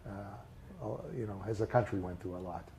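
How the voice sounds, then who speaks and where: An older man reminiscing in a casual interview, speaking haltingly with many fillers and restarts. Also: low-pitched, mellow, a little hiss or hum. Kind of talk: recalled personal memories in a casual interview.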